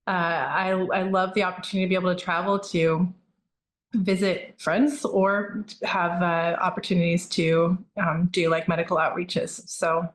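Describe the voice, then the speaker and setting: A woman's voice: fairly low pitch, moderate pace, reverberant. A woman plastic surgeon raised in Norway, talking easily as an interview guest with lots of fillers and restarts. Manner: relaxed, frequent fillers and restarts. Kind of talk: conversational interview answers.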